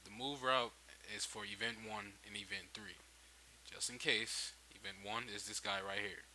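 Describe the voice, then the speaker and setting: A man's voice: fairly low pitch, steady tone, normal speed, sharp tone, rough recording. A man casually narrating a screen-recorded tutorial, thinking aloud in an informal way on a hissy home microphone. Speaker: game-making tutorial host.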